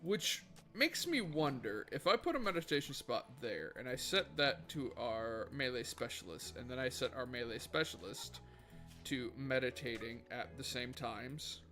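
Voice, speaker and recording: A man casually chatting to viewers as he plays a game on a livestream. His voice is bright and forceful, his talk loose and rambling, and he swears freely. Quiet music plays underneath. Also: mid-range voice, lively intonation, normal speed. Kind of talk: casual gaming livestream chat. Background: faint background music.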